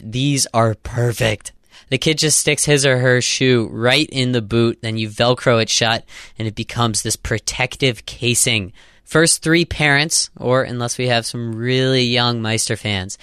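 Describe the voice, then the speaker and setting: A man's voice: mid-range voice, a bit bright, clean tone, no room sound, studio quality. Upbeat male podcast host at a trade show. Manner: upbeat, jokey, quick affirmations. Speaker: podcast host interviewing exhibitors. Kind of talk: casual trade-show interview. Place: outdoor trade show floor.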